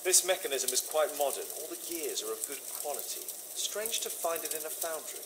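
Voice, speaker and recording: A man's voice: fairly high pitch, expressive, a little fast, natural voice. Victorian detective for a game, male actor: British, incisive, dryly inquisitive, varied melody, hissing ambience behind. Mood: observant, musing. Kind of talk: scripted video game dialogue and deductions.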